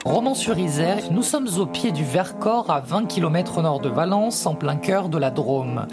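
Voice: medium pitch, animated, moderate pace, loud music behind the voice. A reporter reading a scripted radio documentary narration.

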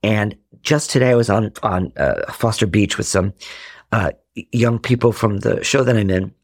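Man in his forties, from Rhode Island, gravelly low voice, husky, animated storyteller, dry close sound. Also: moderate pace, dry recording. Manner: animated, acts out quoted family dialogue. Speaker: podcast guest. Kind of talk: candid storytelling in conversation.

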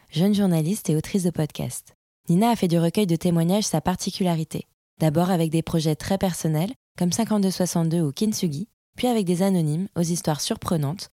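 A woman's voice: fairly low pitch, mellow, no echo, clean studio sound. A woman hosting a podcast, reading a scripted introduction and then asking friendly interview questions. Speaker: podcast host.

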